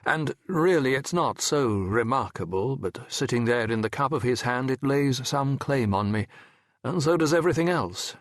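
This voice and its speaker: A man narrating an audiobook as a wry, reflective French medical professor telling his memoir in a measured, erudite, wistful way. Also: medium pitch, animated, no room sound, clean studio sound, natural voice. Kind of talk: scripted first-person literary narration.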